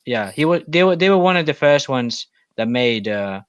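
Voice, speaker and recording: A man's voice: mid-range voice, lively intonation, moderate pace, smooth, no room sound. Man explaining with many fillers. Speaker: podcast co-host. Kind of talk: casual podcast chat. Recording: dull, dry lo-fi mic.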